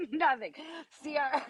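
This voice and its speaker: A woman in her thirties with a raspy voice, asking probing, somewhat hesitant questions in a live video chat. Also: high-pitched, expressive, slow, a little hiss or hum. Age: 30s.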